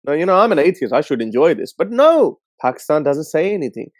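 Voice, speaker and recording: A man hosting a call-in show, giving casual, blunt and scornful commentary in an animated way. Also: fairly high pitch, quick pace, no echo, clean studio sound.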